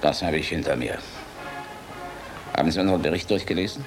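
An actor playing a weary, bitter German general in a radio play, speaking in an emphatic, rhetorical, theatrical way. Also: male voice, extremely low pitch, brisk, music in the background. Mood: gruff, resigned. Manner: emphatic, rhetorical, swinging from gruff to impassioned. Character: weary, bitter German air force general, defiant and tormented. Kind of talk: theatrical radio drama acting.

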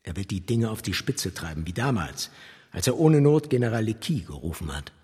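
An older socialist leader in a radio play, grim and militant. His very raspy voice swings emphatically up and down. It rings through a long hall reverb. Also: fairly low pitch, very animated, a little fast, a little mellow, heavily acted. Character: Georg Ledebour, older fiery socialist leader. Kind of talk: German radio drama acting.

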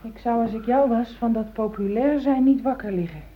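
A woman's voice: a little high, moderate pace, slight background noise, close to an everyday voice. An actress in a Dutch radio play, playing a pragmatic, ambitious housewife who insistently reassures and backs her husband. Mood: soothing. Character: loyal, ambitious middle-aged housewife, pragmatic, supportive of husband. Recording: dull old radio recording.

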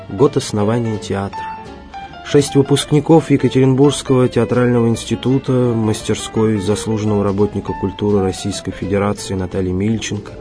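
A man reading scripted radio documentary narration in a formal, deliberate way with dramatic pauses. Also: on the low side. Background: faint music behind the voice.

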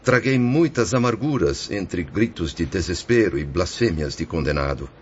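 An actor playing a remorseful, resigned spirit of a ruined merchant in a solemn, declamatory religious radio drama. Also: male voice, deep voice, expressive, moderate pace. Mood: grateful, earnest. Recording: dull lo-fi audio with faint noise.

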